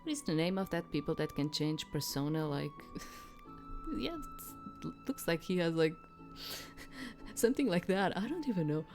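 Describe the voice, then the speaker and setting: A low-pitched woman streams a game and thinks aloud as she goes. Her delivery is casual and rambling, full of hesitations, with soft music running underneath. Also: big pitch swings, measured pace. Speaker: game streamer, let's player.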